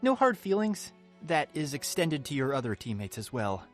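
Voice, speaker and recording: A man's voice: a little high, sing-song, moderate pace, faint music behind. A man voicing a polite, formal young man in a fan audio drama, courteous in manner. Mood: gracious.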